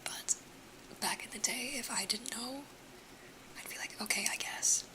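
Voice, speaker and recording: A woman's voice: fairly high pitch, a little slow, poor audio. Whispering young woman, sniffly. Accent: American. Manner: soft whisper throughout, chatty, laughs often. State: a little sniffly. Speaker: ASMR streamer chatting with viewers. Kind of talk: whispered casual chat.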